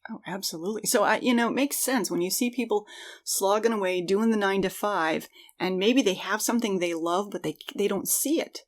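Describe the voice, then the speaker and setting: A woman's voice: medium pitch, fairly quick. A middle-aged woman co-hosting a podcast, talking casually and reflectively in an earnest way. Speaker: podcast co-host.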